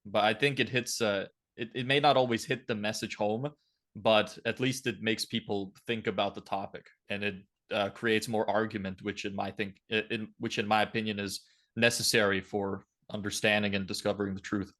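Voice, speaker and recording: A man's voice: fairly low pitch, little pitch movement, normal speed, fairly bright tone. A twenty-year-old man from Lithuania giving blunt, opinionated, casual answers as a podcast guest.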